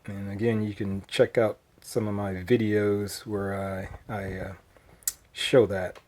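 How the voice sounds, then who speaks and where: Slow, halting man in casual show-and-tell. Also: lowish voice, little pitch movement, a little mellow. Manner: hesitant, halting delivery with frequent pauses. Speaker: hobbyist video host. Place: at home indoors, later outside in a yard. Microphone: handheld phone.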